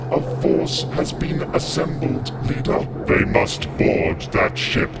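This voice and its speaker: A man's voice: fairly high pitch, huge pitch swings, slow pace, gravelly, heavy background noise, heavily acted. A man playing a cold, commanding, emotionless robotic invader in a science-fiction audio drama, with a buzzing electronic filter and clipped, machine-like delivery. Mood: cold, reporting.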